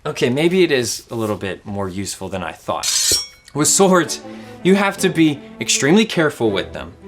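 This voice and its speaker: A man's voice: mid-range voice, normal speed, music in the background. A young man in his twenties from Louisiana with a Southern accent, teaching a playful kids' object lesson with lots of questions. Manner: animated, playful, asks questions. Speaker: kids ministry teacher.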